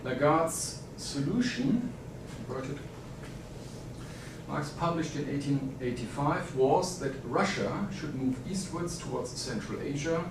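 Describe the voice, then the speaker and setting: German-accented academic in an echoey hall. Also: male voice, fairly high pitch. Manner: measured, formal delivery with frequent "uh" pauses. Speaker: academic conference speaker. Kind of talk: academic conference paper reading. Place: conference hall. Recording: echoey hall, distant mic, noisy.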